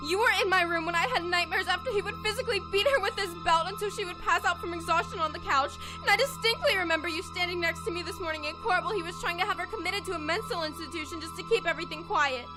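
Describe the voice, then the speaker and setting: Young woman as a very high-voiced bitter teenage girl. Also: fast talker, hardly any pauses, over music. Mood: hurt, bitter. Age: young adult. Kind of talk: amateur audio drama acting.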